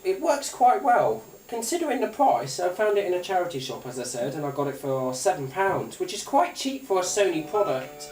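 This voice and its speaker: A man's voice: sits high, sing-song, normal speed, hardly any pauses, darkish tone. A man giving a casual, unscripted gadget demonstration in a rambling, hesitant way, often repeating his phrases. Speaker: gadget review YouTuber. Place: indoors at a tabletop, away from the mic. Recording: roomy, distant mic, faint hiss and clicks.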